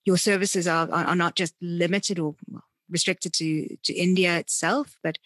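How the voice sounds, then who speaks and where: Woman interviewer, husky, slightly low pitch, conversational, deliberate, rephrases often. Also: steady tone, fairly bright tone, no echo. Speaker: interviewer and podcast host.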